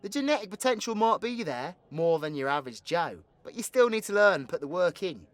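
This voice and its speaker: The foul-mouthed British supervillain at the end of the pub bar in an audio drama, acted by a man, teasing crudely, higher-pitched and swinging all over. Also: very animated, a little fast, dry recording. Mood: sardonic, explaining. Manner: crude, bantering, slangy. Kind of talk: ASMR audio roleplay acting.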